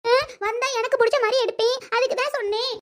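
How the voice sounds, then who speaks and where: A woman's voice: very high voice, fairly even intonation, fast talker. A woman playing a fretful elderly village mother-in-law in a family drama, with a scolding, lamenting manner. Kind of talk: amateur family drama dialogue.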